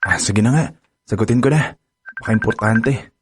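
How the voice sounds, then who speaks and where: A man's voice: on the low side, normal speed, music in the background, lightly acted. A young man acting a devoted boyfriend in a radio drama, talking in a pleading, flustered way and stammering when pressed. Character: young man, lovestruck boyfriend growing suspicious. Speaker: lead character, devoted boyfriend.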